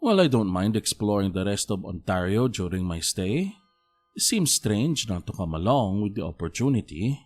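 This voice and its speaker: An actor playing a polite, earnest visiting priest in an audio drama, gentle, hesitant and formal. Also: male voice, lowish voice, big pitch swings, moderate pace.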